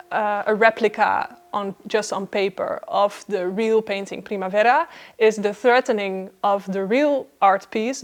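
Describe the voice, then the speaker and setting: A woman giving a reflective interview answer, halting as she searches for the right words. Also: medium pitch. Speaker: theatre artist being interviewed.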